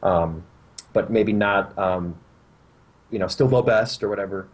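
Husky young North American man chatting. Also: lowish voice, unhurried. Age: young adult. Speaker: podcast co-host. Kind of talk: casual gaming talk show chat. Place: indoor room at home, video call.

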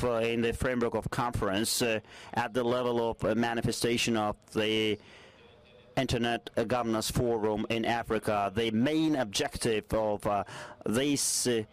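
A man giving a formal report at a conference, pausing often on filler sounds. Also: mid-range voice. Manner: formal, hesitant, many fillers. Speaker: panelist. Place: large conference hall.

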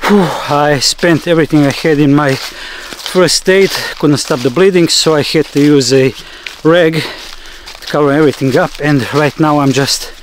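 A man's voice: on the high side, fairly even intonation, normal speed. A man in his thirties talking casually and matter-of-factly to camera as an outdoor vlog host, recorded in a forest. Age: 30s. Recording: outdoor camera audio with clear background noise.

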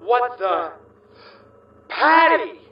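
A man plays a goofy, easily tempted American ghost spy in an audio drama. His high voice bounces around in pitch as he hesitates in slang. He sounds a little distant and roomy. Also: big pitch swings, extremely slow, dull audio, heavily acted. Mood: flustered.

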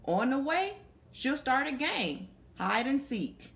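A woman's voice: high voice, big pitch swings, takes it slowly, vocal fry, fairly bright tone. A young adult woman reading a picture book aloud to children, stopping to put questions to her young listeners. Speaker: children's story reader. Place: small plain room indoors.

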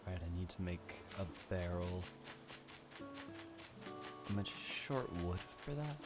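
A man's voice: sits low, lively intonation, over loud music. A man streaming a game, chatting casually and hesitantly with many ums while he has a cold. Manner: halting, full of ums, nervous laughs. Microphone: desk microphone.

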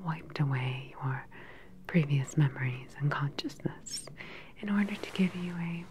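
A woman playing a calm, sinister cyborg scientist in an ASMR roleplay, speaking close to the mic in a slow, deliberate, soothing and clinical way. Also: low voice, a little hiss or hum. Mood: coolly sinister. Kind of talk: ASMR soft-spoken whispered roleplay. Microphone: close ASMR microphone.

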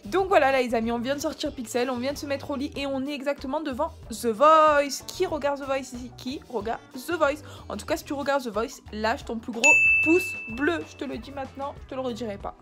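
A young woman talks chattily to a camera held at arm's length, calling her viewers friends. Her voice sits a little high, and music plays underneath. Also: fairly quick, hardly any pauses, a bit bright. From France. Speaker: vlogger. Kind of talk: casual vlog narration to camera. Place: hotel room and restaurant at a ski resort. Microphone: handheld camera at arm's length.

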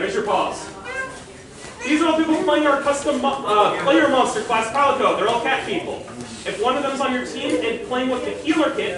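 A man with a very high, projecting voice gives informal instructions to a group as an event organizer. He is recorded from a distance in a heavily echoing open shelter, with a crowd clearly audible around him. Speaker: organizer of a live-action roleplay event. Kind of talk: loud rules briefing to a group. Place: open-sided concrete park pavilion. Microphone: far camera.

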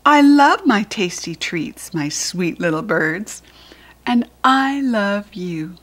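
A woman with an American accent voicing a loving animal mama in a picture book, tender, drawn-out and emphatic. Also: mid-range voice, very animated, fairly bright tone, exaggerated voice. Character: loving animal mama praising her baby's gift.

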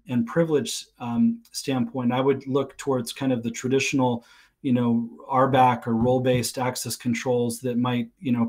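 The middle-aged male specialist you would hear dialled into an online tech panel from his attic study, working through a detailed answer out loud in a mid-range voice. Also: medium pitch. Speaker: guest expert. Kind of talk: explanatory technical talk on a livestream. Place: small attic room at home. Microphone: video call.

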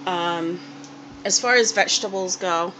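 A young woman walks through her dinner at home in an easy, rambling way, full of ums and small restarts. She holds the phone close in a small kitchen. A steady hiss of background noise runs under the home video. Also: fairly low pitch, a little slow. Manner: chatty and unpolished, many ums and restarts. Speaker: home cook vlogger. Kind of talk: casual cooking demonstration. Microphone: handheld camera or phone held close.

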